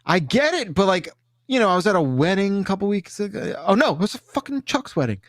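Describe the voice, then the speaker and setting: A man co-hosting a podcast, chatting casually and jokingly in an expressive, emphatic way. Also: high voice, quick pace, no room sound, clean studio sound. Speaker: podcast co-host. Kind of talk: casual comedic group chat.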